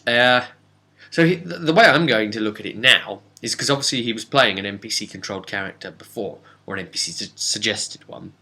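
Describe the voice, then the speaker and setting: A man speaking as a game master, giving a casual, rambling recap of a role-playing session with dramatic pauses and asides. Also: fairly low pitch, lively intonation, bright, forceful tone. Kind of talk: casual spoken recap and storytelling.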